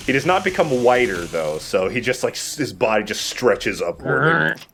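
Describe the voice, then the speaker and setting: A man's voice: mid-range voice, normal speed. A man, a young adult, hosting a Let's Play with casual, rambling, jokey game commentary. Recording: clear steady background noise.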